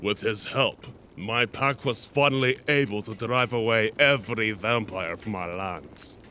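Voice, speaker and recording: Male, actor as noble werewolf for audio drama; low pitch, very unhurried, formal and proud, faint ambience. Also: pushed and bright, slight background noise. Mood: solemn. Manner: slow, formal, deliberate. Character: dignified male werewolf, proud, courteous pack member. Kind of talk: cinematic audio roleplay acting.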